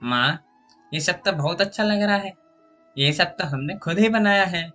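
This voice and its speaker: A man's voice: high-pitched, a little slow, sharp tone, soft background music, pitched above the performer's own voice. A man voicing a sweet, hopeful young village girl in a moral story, speaking earnestly. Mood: happy.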